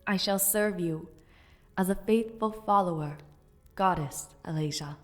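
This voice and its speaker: Woman playing an earnest, slow-spoken young noblewoman. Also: lowish voice, soft background music, lightly acted. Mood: solemn, proud. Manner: measured, formal, earnest. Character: earnest, resolute young noblewoman of a fantasy realm. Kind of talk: fantasy audio roleplay, formal speech.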